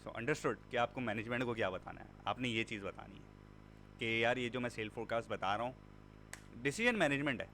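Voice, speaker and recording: A man's voice: mid-range voice, moderate pace, creaky, sharp tone, a little hiss or hum. A man teaching a machine learning class in a conversational way, mixing in English words and repeating points for emphasis. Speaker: instructor. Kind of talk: classroom lecture.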